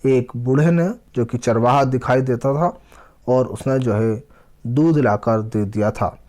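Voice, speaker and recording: Slow-paced man teaching a children's lesson. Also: medium pitch, darkish tone. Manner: deliberate, pausing word by word, repeating phrases. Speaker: teacher explaining an Urdu textbook lesson for children. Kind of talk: lesson narration, reading aloud and explaining exercises.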